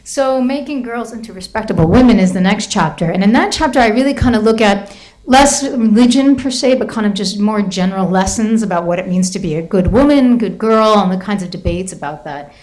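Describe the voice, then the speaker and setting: A woman's voice: medium pitch, brisk, darkish tone. A middle-aged woman giving an academic talk about her book, telling classroom stories with lots of hedging and restarts. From United States. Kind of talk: academic lecture with anecdotes.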